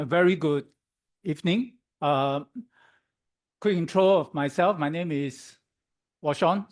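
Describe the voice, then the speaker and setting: A hoarse man presenting at a meetup in an office room, pitched a little high for a man. He pauses often on "um" and keeps tossing "okay?" to the room as he explains. The voice sounds strained. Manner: halting, many "um"s, keeps checking in with "okay?". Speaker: guest speaker at a tech meetup. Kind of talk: conference presentation.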